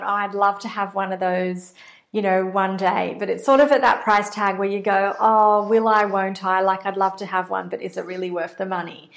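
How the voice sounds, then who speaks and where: A woman's voice: mid-range voice, reverberant. A woman with an Australian accent, giving a chatty, earnest talk as a manifesting coach, explaining with many fillers. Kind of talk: casual teaching monologue.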